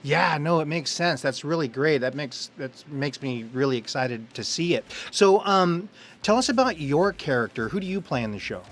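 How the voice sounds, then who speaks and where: A man's voice: a little high, animated, normal speed. A man doing a casual promotional interview, enthusiastic and effusive as he talks with a fellow actor.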